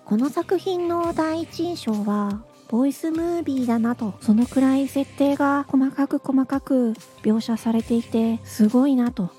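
A woman's voice: sits high, a little slow, few pauses, warm tone, faint music behind. A woman giving a casual promotional testimonial.

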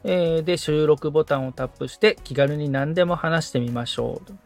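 A man's voice: fairly high pitch, brisk, vocal fry, a little mellow, soft background music. A man assisting on a podcast, politely following along and describing each step aloud. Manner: polite, attentive, agreeable.

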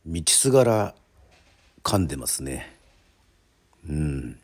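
A man with a deep, soft-edged voice hosts a radio drama. He speaks slowly and formally, like an announcer setting up a show. He sets each phrase apart with a deliberate pause. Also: sing-song, husky. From Yoron Island, Japan. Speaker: radio host and narrator.

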